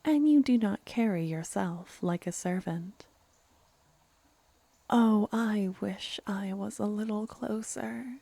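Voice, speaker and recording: A woman voicing a playful, clever female vampire in a soft-spoken ASMR fantasy roleplay, teasingly menacing and often near a whisper. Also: mid-range voice, dry recording. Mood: sly, curious. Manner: unhurried, theatrical, teasing, slipping into whispers.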